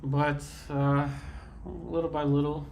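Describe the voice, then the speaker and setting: A man's voice: fairly high pitch, slow, vocal fry, a little hiss or hum. A man hosting a live painting stream, sounding congested and a bit nasal as he chats easily with his viewers while he works. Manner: relaxed, chatty, answers viewer comments while working. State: congested from a sinus infection. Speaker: livestream art host. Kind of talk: live painting demo chat.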